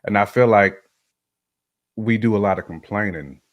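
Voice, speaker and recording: A man with a low-pitched voice shares his views in a casual discussion. He speaks emphatically, circling back and repeating phrases to press his point. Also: normal speed, dull audio. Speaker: podcast guest panelist giving opinions. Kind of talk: casual conversational opinion talk.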